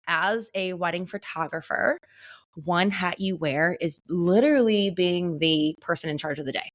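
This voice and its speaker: A woman co-hosting a podcast, chatting casually and telling stories in a loud, animated way as a wedding photographer. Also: fairly low pitch, moderate pace. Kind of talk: casual chat.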